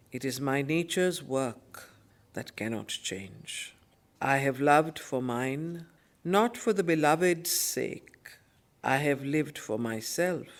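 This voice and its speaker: A woman teacher at a study circle, reciting poetry solemnly and explaining it with deliberate, emphatic phrasing. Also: low voice. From India.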